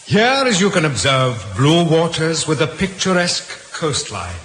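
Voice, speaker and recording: An actor in an old radio drama playing a vain, boastful Victorian doctor with a pompous, florid manner. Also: male voice, on the high side, sing-song, measured pace, fairly bright tone. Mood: grandly boastful. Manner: pompous, theatrical. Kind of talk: 1940s radio drama acting. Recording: old radio broadcast, roomy, hissy.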